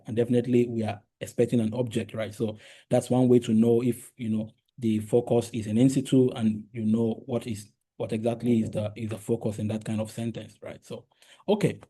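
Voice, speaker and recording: A man's voice: mid-range voice, level tone, fairly quick, dark tone, husky. A man whose first language is Yoruba, giving an academic linguistics talk with many fillers and restarts and frequent "right?" check-ins. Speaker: linguistics researcher presenting a talk. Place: lecture room.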